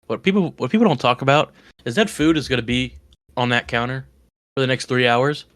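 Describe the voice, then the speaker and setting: A man's voice: mid-range voice, fairly quick, a bit bright, no room sound. A man co-hosting a podcast, rambling enthusiastically about game-day food with lots of restarts. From West Virginia. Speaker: podcast co-host. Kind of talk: casual chat.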